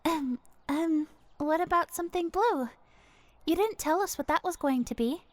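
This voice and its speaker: A woman's voice: very high voice, normal speed, no room sound. A woman playing a blunt, bossy young friend in an audio drama, with a perky manner. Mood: eager.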